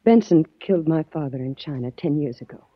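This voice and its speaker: A husky-voiced frightened young woman, tense and on edge. It is heard through a muffled old radio recording. The sound is dry. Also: sits low, lively intonation, brisk, no echo. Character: frightened young woman hiding from her father's killer. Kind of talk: 1940s radio acting.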